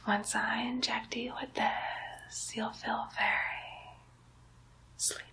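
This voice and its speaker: A woman's voice: a little high, level tone, creaky. A woman voicing a mischievous young demon girl posing as a nurse, whispering coaxingly and teasingly in an ASMR roleplay. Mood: soothing, sinister. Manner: slow, coaxing, teasing whisper with playful baby talk.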